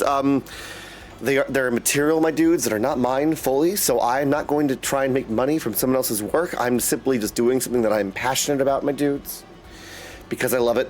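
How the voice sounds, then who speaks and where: A man hosting a casual livestream, chatting effusively with viewers, often exclaiming and calling them dudes. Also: a little high. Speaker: live streamer and host.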